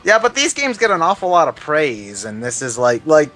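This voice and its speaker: A man's voice: a little high, sing-song, moderate pace, fairly bright tone. A man giving casual, questioning commentary on a video game. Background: game music.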